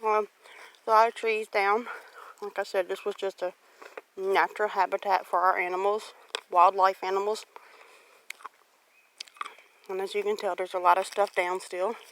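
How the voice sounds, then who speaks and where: A woman's voice: medium pitch, flat delivery, normal speed, pauses often, a bit bright. A woman in her forties with a Southern US accent, chatting casually and unhurriedly as she walks her rural property outdoors with a handheld camera. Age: 40s. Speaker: homestead vlogger. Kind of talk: casual walk-and-talk narration. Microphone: handheld camera or phone while walking. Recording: noisy outdoor handheld recording with clicks.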